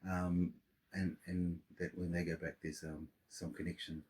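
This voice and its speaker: A man's voice: deep voice, flat delivery, measured pace, breathy edge. A middle-aged man from Samoa, a podcast guest chatting casually and rambling with many restarts. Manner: rambling, restarts and fillers, warm laughter-prone storytelling. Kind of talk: casual interview chat.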